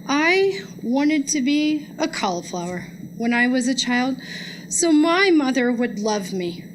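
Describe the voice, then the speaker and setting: A woman reciting a poem in a measured way at a live reading. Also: high-pitched, big pitch swings, a little hiss or hum, rough recording. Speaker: guest poetry reader.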